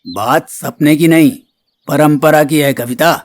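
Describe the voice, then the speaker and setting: A man's voice: on the high side, a little slow, no room sound, lightly acted. Stern, authoritative village headman acted by a man. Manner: authoritative, admonishing. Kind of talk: Hindi moral-story cartoon voice acting.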